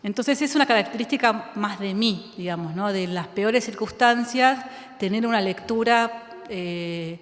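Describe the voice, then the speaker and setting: A woman's voice: medium pitch, a little slow. Argentine woman writer chatting onstage, lots of ums and restarts, laughs a lot, echoey hall. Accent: Argentine Spanish. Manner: thinks aloud with many fillers and restarts, laughs often. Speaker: writer in a public conversation. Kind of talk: reflective conversational talk. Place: school auditorium with audience. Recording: reverberant hall.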